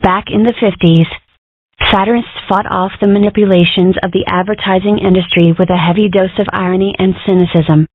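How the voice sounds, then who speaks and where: A woman's voice: medium pitch, steady tone, brisk, a little hiss or hum, lightly acted. A woman voicing an opinionated, philosophical ten-year-old girl in a cartoon comic dub, earnest and wordy. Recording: muffled band-limited audio.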